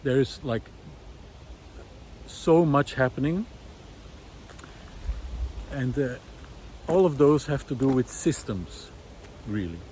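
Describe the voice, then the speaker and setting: A man's voice: mid-range voice, animated, takes it slowly, long pauses, mellow, slight background noise, rough recording. A man giving an unscripted, reflective monologue, thoughtful and hesitant as he searches for words. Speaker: visual artist turned ecology project host.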